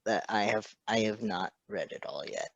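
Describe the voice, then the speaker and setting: A woman's voice: very deep voice, normal speed, gravelly, no room sound, highs cut off. A woman chatting casually and enthusiastically about a story as co-host.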